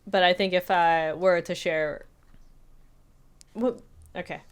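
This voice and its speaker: Lower-pitched woman chatting casually. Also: fairly even intonation, slow pace, smooth. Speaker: podcast co-host. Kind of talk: casual film review chat.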